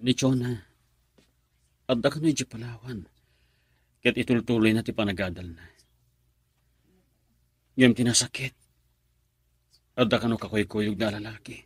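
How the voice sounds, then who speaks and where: A man's voice: medium pitch, steady tone, moderate pace, long pauses, slightly rough, no room sound, natural voice. An actor playing a lovesick young man, a devoted son, in a melodramatic radio drama. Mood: hurt, jealous.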